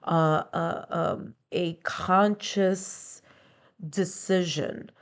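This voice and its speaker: A woman's voice: lowish voice, steady tone, extremely slow. Stuffy-nosed woman giving a tarot reading. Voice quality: stuffy nasal sound. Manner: explanatory, repeats points with strings of near-synonyms. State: stuffy, congested from being under the weather. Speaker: tarot reader. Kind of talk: conversational tarot and astrology reading.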